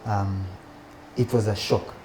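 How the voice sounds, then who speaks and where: A man's voice: lowish voice, fairly even intonation, takes it slowly, mellow, slight background noise, low-quality recording. Man recalling school days, laughing often. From Uganda. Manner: laughs often, frequent restarts and fillers. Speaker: guest. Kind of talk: relaxed conversational storytelling.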